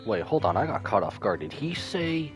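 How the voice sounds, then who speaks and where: A man with a fairly low voice chats casually while streaming a game. His intonation swings in a lively way. Some music sits underneath his commentary. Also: moderate pace. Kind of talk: casual live gaming commentary.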